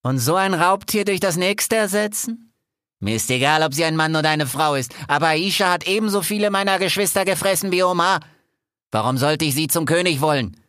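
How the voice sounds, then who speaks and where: Man as zebra, defiant, bitter, pitched up, storybook character, dry recording. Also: a little fast, fairly bright tone, dry recording, close to an everyday voice. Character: defiant, bitter zebra challenging the would-be ruler.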